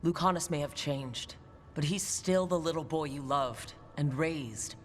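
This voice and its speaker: Calm adventurer, noisy ambience. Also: female voice, low voice, unhurried. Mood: determined. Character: steady, inquisitive adventurer leader.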